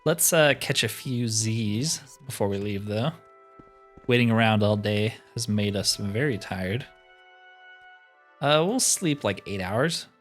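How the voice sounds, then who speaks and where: A man's voice: lowish voice, fairly bright tone. A man giving casual commentary as he plays a roleplaying video game. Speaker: gaming video host. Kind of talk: casual roleplay gameplay commentary, reading dialogue aloud.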